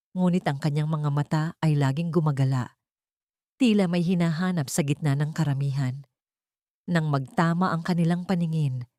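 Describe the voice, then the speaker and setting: A woman's voice: sits low, mellow, no echo, clean studio sound. A woman reading a dramatic scripted story as its narrator.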